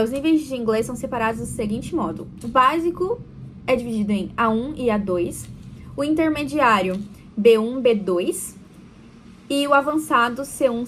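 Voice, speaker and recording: A young woman with a Brazilian Portuguese accent, chatting casually to camera in a vlog, self-deprecating and quick to laugh. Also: on the high side, a little hiss or hum, rough recording. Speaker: vlogger.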